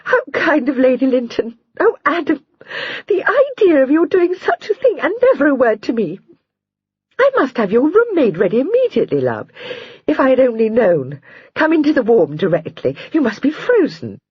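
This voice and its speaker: A woman doing a fretful, warm young wife. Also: fairly high pitch, very animated, moderate pace, slightly rough, no echo, lo-fi sound, voice pushed up, exaggerated voice. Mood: overjoyed. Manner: pettish, then tearful and gushing.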